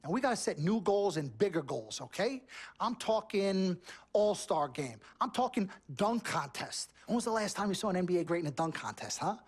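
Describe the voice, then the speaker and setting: Loud hustling sports agent, New York accent, husky, bragging salesman patter, game cutscene. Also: high-pitched, sing-song, normal speed, full character voice. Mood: boastful. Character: brash fast-talking male sports agent, boastful. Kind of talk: video game cutscene acting.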